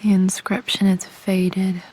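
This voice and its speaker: An actress playing a young adventurer and wistful daughter with a British accent, quietly musing to herself in a video game. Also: female voice, medium pitch, level tone, slow, low-quality recording. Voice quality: gravelly fry, hushed. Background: music.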